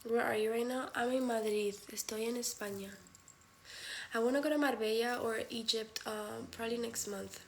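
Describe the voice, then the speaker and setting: A woman's voice: on the high side, fairly even intonation, moderate pace, a little hiss or hum. A woman who grew up in Spain, hosting a livestream and chatting with viewers in a candid, blunt, profane way, slipping Spanish phrases into her talk. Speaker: livestream host. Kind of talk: casual live chat with viewers.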